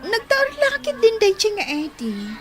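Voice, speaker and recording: An actress playing a spoiled, defiant student daughter in an Ilocano radio drama, pouty and whining, with an Ilocano accent. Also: female voice, extremely high pitch, huge pitch swings, moderate pace, a little hiss or hum, heavily acted. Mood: annoyed, teasing. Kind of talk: Filipino radio drama acting.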